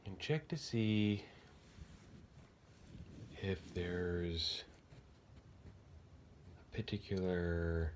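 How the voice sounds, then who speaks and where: A man with a deep-pitched voice leads a guided body meditation. He speaks very slowly and gently, searching for words and leaving long pauses. A faint noise sits behind his voice.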